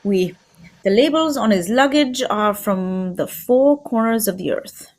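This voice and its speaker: A woman playing a shrewd, polite Belgian male detective with a put-on Belgian French accent, formal and deliberate, in an old-time radio mystery style. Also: mid-range voice, animated. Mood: measured.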